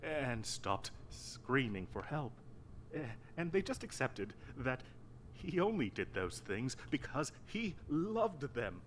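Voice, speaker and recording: A man's voice: medium pitch, sing-song, slight background noise. A man playing a possessive yandere prince in a story game, telling a bedtime story in a tender, controlling manner. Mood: eerily tender. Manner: slow, tender, controlling delivery. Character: possessive yandere prince, soft-spoken and menacingly tender lover. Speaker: game voice actor.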